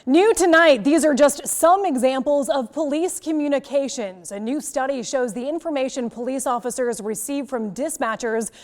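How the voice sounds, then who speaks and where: High-pitched American woman anchoring TV news. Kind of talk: scripted news introduction.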